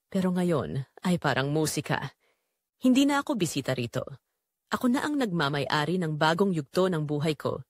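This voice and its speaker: Casting: female Filipino audio drama narrator, earnest and emotive, animated pitch, close dry recording. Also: no room sound. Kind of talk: scripted first-person dramatic narration.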